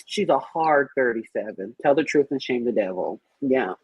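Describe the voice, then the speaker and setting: A woman's voice: extremely low pitch, lively intonation, normal speed, a little mellow, slightly rough, no echo, low-quality recording. A woman chatting casually on a group podcast in a blunt, sassy, theatrical manner. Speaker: podcast panelist. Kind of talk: animated casual group chat.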